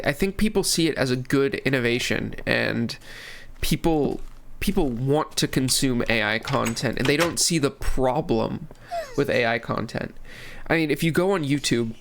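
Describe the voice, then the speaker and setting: Young male host, stuffy from a cold, loose rambling game commentary, average pitch, light background hiss. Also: fairly bright tone. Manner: rambling, restarts phrases, many fillers. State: congested from a cold. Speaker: gaming channel host. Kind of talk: casual commentary while playing.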